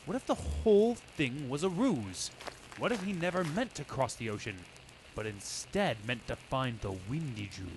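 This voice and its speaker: An actor playing an inquisitive, skeptical investigator in old-time radio drama style. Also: male voice, mid-range voice, big pitch swings, moderate pace. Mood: pondering. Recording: echoey room, faint noise.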